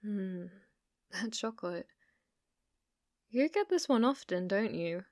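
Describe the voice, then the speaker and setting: Casting: actress as delusional young British cashier, obsessive stalker for ASMR roleplay; unhurried, halting delivery, very dry close sound. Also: female voice, medium pitch, expressive, darkish tone, no echo. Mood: polite, probing. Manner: halting, flustered, slipping into breathy menacing whispers.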